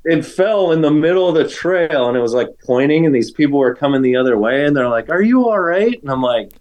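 A man's voice: on the high side, expressive, fairly quick, a little mellow, dull audio. A man in his forties, a podcast guest telling race stories in a rambling, self-mocking way full of fillers. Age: 40s. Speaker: guest runner. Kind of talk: casual chat, telling stories.

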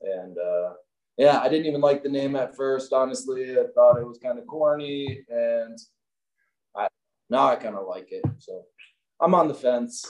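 A man's voice: medium pitch, moderate pace, a little mellow. A man in his thirties from Wisconsin, a company owner chatting casually as an interview guest, rambling with many fillers. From Wisconsin, US Midwest. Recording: echoey room.

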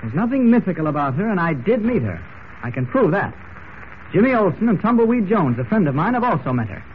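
A man's voice: sits high, big pitch swings, fast. A man playing a firm, skeptical newspaper reporter in an old radio serial. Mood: insistent. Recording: muffled old radio recording with hiss.

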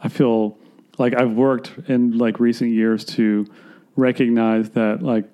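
A man from Florida, a filmmaker guest, talking in a rambling, halting, self-deprecating way in casual conversation. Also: mid-range voice, flat delivery, mellow.

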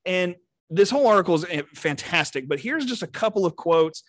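A man with a Texan accent, co-hosting a casual podcast chat, excitable and rambling, often laughing. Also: high voice, fairly quick, dry recording, studio quality. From Texas. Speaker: podcast co-host.

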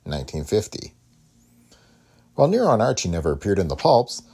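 Male podcast host, very low husky voice, gravelly fry, animated scripted delivery. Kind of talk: scripted introduction.

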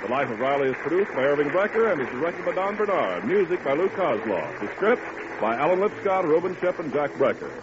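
A man's voice: on the high side, big pitch swings, a little fast. A man announcing on 1940s radio, with polished, emphatic commercial salesmanship. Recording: muffled, hissy 1940s radio recording.

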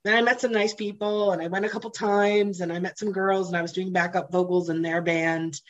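A woman guest in a casual interview, telling candid, animated stories, swearing casually and laughing often. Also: on the low side, brisk, muffled recording. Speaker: interview guest, blues jam host.

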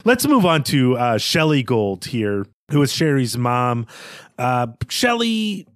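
A man with a mid-pitched voice talks as a podcast co-host. His delivery is conversational but analytical, building arguments in an articulate way. The sound is dry, with little room in it. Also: very animated, takes it slowly, dry recording. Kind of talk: literary discussion, conversational analysis.

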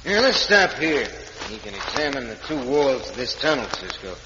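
A man's voice: mid-range voice, big pitch swings, moderate pace, background noise, lightly acted. Scheming western mine boss played by a man, American drawl, vintage muffled radio drama. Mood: falsely friendly. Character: scheming crooked western mine owner, feigning folksy generosity. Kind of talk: old-time radio western acting.

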